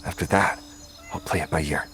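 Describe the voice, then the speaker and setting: A man voicing a calm, wry investigator in a game, understated and confident. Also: rumbling low, fairly quick, soft background music. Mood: quiet, focused. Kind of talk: English game voice acting.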